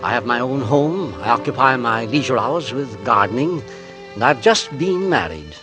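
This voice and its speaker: A genteel, agreeable middle-aged businessman as a man acts him tells of his tidy life. He is precise and corrects himself gently, in 1940s radio drama style, with clear music behind him on a vintage broadcast. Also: medium pitch, animated, moderate pace, natural voice. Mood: mild, confiding. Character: agreeable middle-aged English businessman, mild, fussy, newly married. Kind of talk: 1940s radio drama acting, first-person narration.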